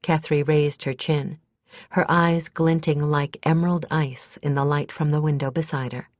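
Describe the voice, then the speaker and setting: A woman's voice: sits low, fairly even intonation, moderate pace, no echo. A woman reading scripted fantasy fiction as an audiobook narrator.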